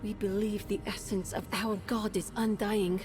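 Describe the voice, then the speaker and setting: A woman playing a calm, earnest alien ruler in video game dialogue, speaking in a measured, solemn and lyrical way. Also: mid-range voice, music in the background, close to an everyday voice. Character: calm, earnest alien woman, serene stand-in ruler pleading for her people.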